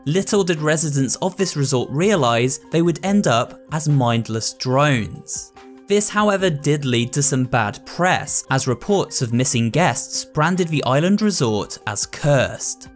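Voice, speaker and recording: A man giving a steady, scripted narration explaining a game's story. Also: fairly high pitch, measured pace, soft background music.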